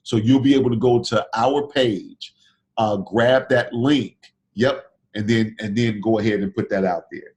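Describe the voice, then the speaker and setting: A man hosting a group video call for speakers, pitching his event persuasively, repeating and restarting phrases while he recovers from illness and is losing his voice. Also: steady tone, unhurried, darkish tone.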